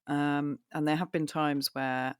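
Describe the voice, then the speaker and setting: A woman co-hosting a podcast in casual chat, talking into a microphone, animated and self-mocking, swearing casually. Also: low voice, slow pace, clear, resonant tone, no echo, studio quality. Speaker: podcast co-host.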